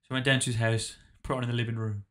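A man's voice: medium pitch, level tone, rapid-fire, fairly bright tone. A young man, co-hosting a casual podcast in a small home studio, teasing and cheeky. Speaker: podcast co-host. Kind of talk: casual chat. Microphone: studio mic on boom arm, headphones.

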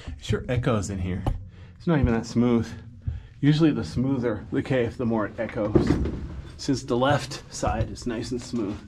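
A man rambles as he explores a cave with a handheld camera, thinking out loud and correcting himself. His voice sits in an ordinary middle range. Loud noise and the cave's echo surround him. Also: mid-range voice, moderate pace. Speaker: cave explorer filming a walkthrough. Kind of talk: casual spoken vlog narration. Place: inside a lava tube cave.

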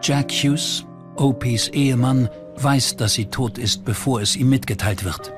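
A man's voice: mid-range voice, measured pace, close to an everyday voice. A man delivering scripted narration for a true-crime documentary. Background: music under the voice.

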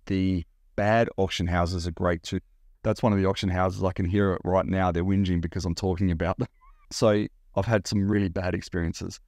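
A man in his forties with an Australian accent, talking straight to camera about reselling in a blunt, animated way. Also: low-pitched, no room sound, clean studio sound. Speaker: reseller vlogger. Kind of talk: direct-to-camera vlog talk. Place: indoor room by large window.

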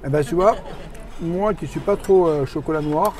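Higher-voiced Frenchman, busy restaurant behind. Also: moderate pace, darkish tone. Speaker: vlog companion tasting food. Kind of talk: casual chat. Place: busy restaurant at a theme park. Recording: restaurant crowd chatter behind.

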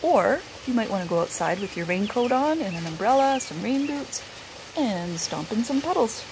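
A middle-aged woman, a teacher, reads a picture book aloud expressively, outdoors under a roof. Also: on the high side. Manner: unhurried, animated. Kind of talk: expressive read-aloud storytelling. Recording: rain noise behind the voice.

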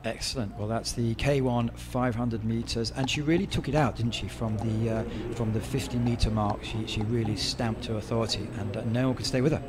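A man commentating a canoe race in a casual, halting way, with many restarts and fillers. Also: medium pitch, fairly even intonation. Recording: crowd noise behind. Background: crowd at a regatta.

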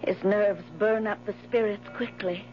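An actress playing a gentle, anxious, devoted woman in a 1970s radio drama, with soothing, genteel, earnest phrasing. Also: medium pitch, steady tone, moderate pace, lightly acted. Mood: earnest, concerned. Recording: muffled, noisy 1970s radio broadcast recording.

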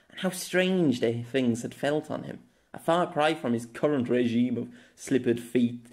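A man's voice: mid-range voice, a little mellow. A man narrating a scripted novella as an audiobook. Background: music.

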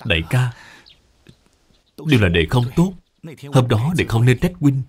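A man's voice: medium pitch, sing-song, unhurried, a little mellow. A man voicing an upright, earnest younger brother, an official in a cartoon who formally blames himself. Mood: remorseful. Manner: earnest, formal, self-reproaching.

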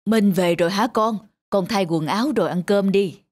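A woman playing an elderly, caring grandmother in a cartoon, gently coaxing. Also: mid-range voice, moderate pace, lightly acted. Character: elderly, caring, self-sacrificing grandmother street vendor. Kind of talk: cartoon voice acting. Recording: music behind the voice.

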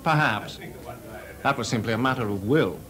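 An actor playing a witty young composer in a 1980s radio drama, talking dryly and ironically. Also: male voice, mid-range voice, normal speed, fairly bright tone, natural voice. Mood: wry. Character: composer Delius, young man, wry and witty. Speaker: lead actor. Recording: party crowd behind.

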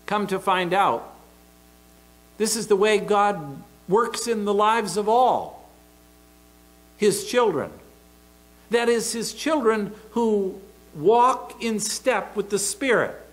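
A middle-aged American man preaching a sermon from a church pulpit into a pulpit microphone, emphatic and deliberate. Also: high voice, lively intonation, low-quality recording. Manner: emphatic, deliberate preaching with open hand gestures. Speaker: pastor.

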